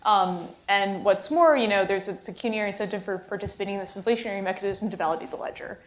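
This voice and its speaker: A woman speaking on a conference panel in a casual, brisk way. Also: medium pitch. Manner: casual, brisk, with light laughs. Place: conference hall. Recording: echoey hall.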